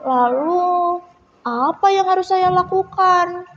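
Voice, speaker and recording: A timid young singer from a children's tale, played by a female storyteller talks hesitantly in a high voice. The sound is muffled and rings in a large hall. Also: slow pace, fairly bright tone, heavily acted. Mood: sad, unsure. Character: shy, sad young singer with stage fright. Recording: muffled echoey hall recording.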